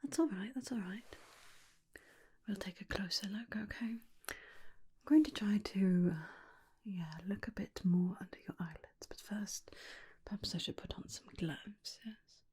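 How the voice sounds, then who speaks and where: A woman playing a gentle, caring female vampire in an ASMR roleplay, whispering softly and soothingly with hesitant reassurances. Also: mid-range voice, a little slow, pauses often. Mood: gentle, reassuring. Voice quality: soft breathy whisper. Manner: soothing, hesitant, repeats reassurances, calls listener darling. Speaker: ASMR performer. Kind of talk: ASMR personal-attention roleplay.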